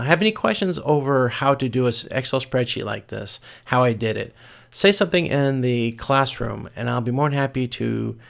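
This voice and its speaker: A man giving an informal, step-by-step spreadsheet lecture as a course instructor, with a gravelly, creaky voice. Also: mid-range voice. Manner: explains step by step in plain informal words.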